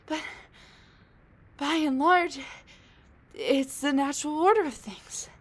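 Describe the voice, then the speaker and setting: A woman playing a sassy, weary female supervillain in a roleplay audio drama, talking in a casual, wry, halting way straight to the listener. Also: high voice, lively intonation. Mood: rambling, indignant.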